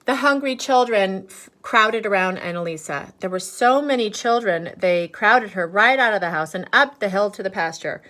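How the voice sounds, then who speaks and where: A woman reading a picture book aloud in an expressive, teacherly way, pausing to put asides and questions to young listeners. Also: mid-range voice. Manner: animated, teacherly, pauses for questions.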